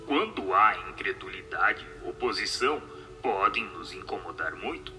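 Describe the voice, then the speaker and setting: A man's voice: low voice, animated, normal speed, pushed and bright, soft background music, pitched below the performer's own voice, heavily acted. A man voicing a solemn, assured spirit who answers questions in a radio drama.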